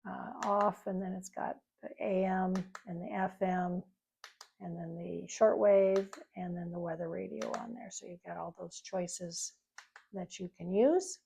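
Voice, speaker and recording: Creaky-voiced woman casually demonstrating a product. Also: on the low side, steady tone, measured pace, mellow, slight background noise. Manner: informal, rambling, explanatory. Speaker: product reviewer.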